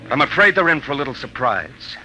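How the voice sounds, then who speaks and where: An actor playing a sombre, resolute man dictating a farewell report in a 1950s radio drama, deliberate and confiding. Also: mid-range voice, sing-song, sharp tone. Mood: grave, resolute. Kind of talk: 1950s radio drama acting, first-person narration. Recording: noisy old radio broadcast recording.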